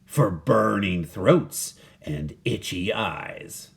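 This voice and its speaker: A man's voice: lowish voice, animated, drawn-out words, gravelly, full character voice. A man voicing cheerful, self-important working men in a storybook, declaring things heartily and proudly.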